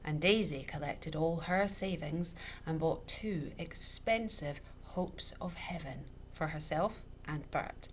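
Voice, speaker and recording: Lower-pitched woman reading a children's story. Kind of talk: scripted expressive narration. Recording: noticeable background noise.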